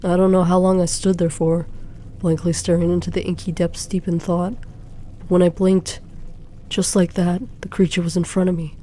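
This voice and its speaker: A woman narrating a suspenseful first-person story in a dramatic, deliberate way. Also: lowish voice, warm tone. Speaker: fan fiction narrator. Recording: faint background noise.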